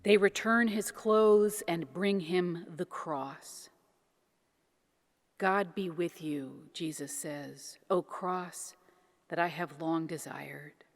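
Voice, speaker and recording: A woman reads devotional verse in a lowish voice, very slowly and gravely. Her delivery is declamatory and deliberate, with pauses between phrases. Long reverberation trails each phrase, as in a big hall. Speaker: reader of devotional poetry, minister. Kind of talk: solemn dramatic poetry reading.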